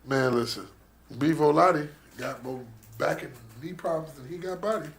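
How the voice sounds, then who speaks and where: A man's voice: a little high, normal speed, gravelly. A man, hosting a radio show in casual, joking, slangy chat.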